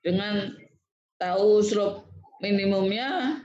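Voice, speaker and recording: Lecturer going step by step, repeats stuff, soft dark creaky voice, muffled recording. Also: medium pitch, takes it slowly. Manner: repetitive, checks in with a tag word after statements. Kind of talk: step-by-step technical lecture to students. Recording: dull, echoey lo-fi room recording.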